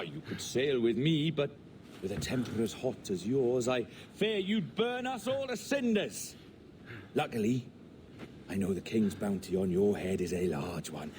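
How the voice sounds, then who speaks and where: A man's voice: mid-range voice, dark tone, a little hiss or hum. A man playing a cunning, cynical pirate captain in a video game, theatrical and taunting. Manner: theatrical, taunting, rhetorical questions. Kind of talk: dramatic video game acting.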